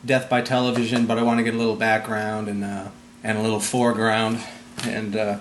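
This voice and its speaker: A man conducting a casual interview, talking in a rambling, halting way. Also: on the low side, flat delivery. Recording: roomy echo, background noise.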